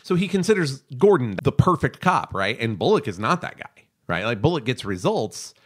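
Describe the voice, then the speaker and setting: A man's voice: mid-range voice, sing-song, normal speed, a little hiss or hum. A man hosting an analytical discussion podcast, speaking in an emphatic, opinionated way. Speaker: podcast host discussing animation.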